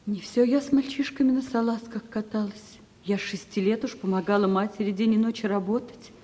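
An actress playing a poor young ward in a Russian radio play, terse and restrained, with quiet pride. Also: female voice, mid-range voice, steady tone, brisk, close to an everyday voice. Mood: guarded, hurt. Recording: reverberant 1970s radio play recording.

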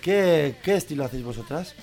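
A man hosts a radio interview in a gravelly voice pitched a little high. He stumbles through his questions with plenty of fillers and restarts. Faint music sits behind him. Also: lively intonation, a little fast, darkish tone.

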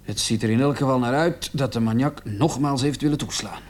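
Man as a brisk, sceptical police officer. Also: medium pitch, darkish tone, close to an everyday voice. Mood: speculative. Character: brisk, sceptical police officer questioning, reporting to his sergeant. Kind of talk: Dutch radio play acting.

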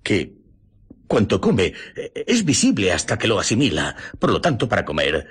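An actor playing a resolute, urgent doctor in a Spanish radio drama, with a raspy voice, insistent delivery and Castilian accent. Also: male voice, lowish voice, wildly swooping pitch, moderate pace, exaggerated voice. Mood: urgent, commanding. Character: urgent, resolute male doctor leading a manhunt.

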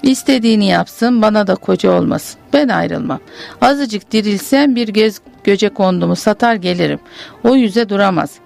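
A woman's voice: mid-range voice, normal speed, vocal fry, darkish tone, faint music behind. A woman reading aloud the letter of a poor, desperate wife, in a pleading, plain-spoken manner. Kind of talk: literary reading.

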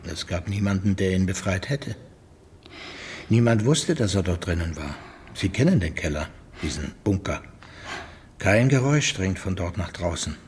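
An actor playing a persistent, earnest police inspector in a radio drama, speaking deliberately and gravely. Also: male voice, deep voice, expressive, exaggerated voice. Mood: quiet, serious.

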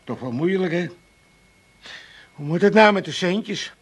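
A man's voice: high voice, animated, unhurried. An actor playing a meddlesome, grumbling older father and landlord in a blustery, colloquial comic radio play style. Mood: nagging. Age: middle-aged or older.

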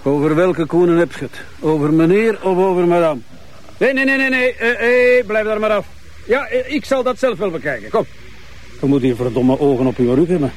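An actor playing a grumpy, sarcastic forensic investigator with a Flemish accent, bossy and mocking. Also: male voice, sits high, normal speed, natural voice. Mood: irritated. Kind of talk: naturalistic television crime drama acting.

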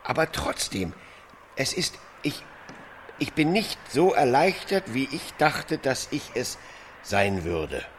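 A man playing a disappointed witness answering haltingly in a radio drama interview. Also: medium pitch, sing-song, a little hiss or hum.

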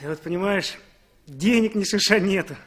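An actor playing a brash, broke young dandy in a 1960s Soviet radio play, breezy, slangy and wheedling. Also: male voice, sits high, normal speed, a bit bright. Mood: plaintive.

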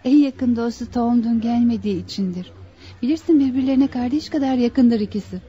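An actress playing an anxious, devoted young bride-to-be in a Turkish radio play, with theatrical, pleading delivery. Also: female voice, a little high, a little fast, dark tone, natural voice. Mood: curious, concerned. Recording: dull lo-fi radio drama recording.

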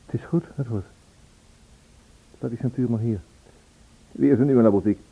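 An actor playing a probing, businesslike police inspector in a Dutch radio play, with measured questions and hesitant pauses. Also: male voice, mid-range voice, breathy edge. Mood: brisk, probing. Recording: tinny band-limited old radio recording.

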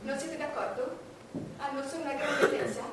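An actress playing an imperious, vain, solitary English queen in a declamatory stage monologue with dramatic pauses. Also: sits high, recorded from a distance. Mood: grandiose, haughty. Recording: noisy, echoey stage recording from afar.

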